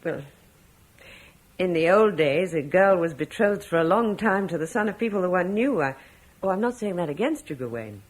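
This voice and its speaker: A woman's voice: fairly low pitch, expressive, normal speed, rough recording. A worried, proper mother. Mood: careful. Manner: gentle, careful and worried. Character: anxious, proper middle-aged mother. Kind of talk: 1950s radio drama acting.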